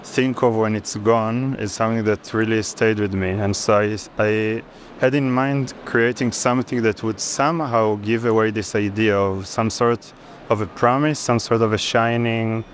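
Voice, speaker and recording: Over a noisy urban background, a man in his thirties speaks in a low voice with an Israeli accent. He explains his art reflectively, halting and searching for the right words. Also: steady tone. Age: 30s. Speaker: artist interviewed. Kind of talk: reflective explanation of own artwork. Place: busy art fair. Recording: clear urban background noise at fair.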